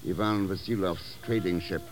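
An actor playing a cool, commanding secret agent with a British accent, clipped and dry, in 1950s radio drama style. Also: male voice, low-pitched, steady tone, moderate pace, soft background music, natural voice.

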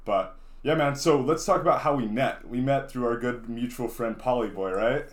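A man in his early twenties hosting a casual interview podcast, relaxed and jokey in manner. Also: mid-range voice, normal speed, a crowd in the background. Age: 20s. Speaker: podcast host. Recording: roomy echo.

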